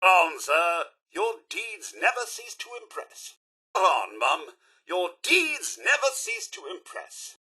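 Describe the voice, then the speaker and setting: A man's voice: a little high, bright, forceful tone, breathy edge, exaggerated voice. A male actor voicing a fussy, loyal, easily alarmed English robot butler in a video game, with a prim, theatrical and politely deferential manner. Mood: admiring. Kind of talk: video game companion voice lines.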